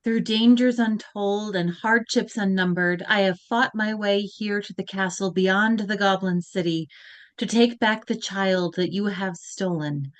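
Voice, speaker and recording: A woman's voice: medium pitch, unhurried. A woman reading the lead teenage girl part in a live video-call read-through, moving between whiny protest and grand recital. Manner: lively, swings between whiny protest and grand recital, breaks off to laugh at slips. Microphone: video call microphone.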